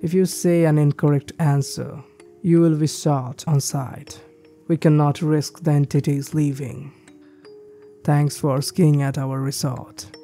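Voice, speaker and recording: A man reading a creepypasta list of ski resort rules in a steady, measured scripted narration. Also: on the high side. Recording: faint background music.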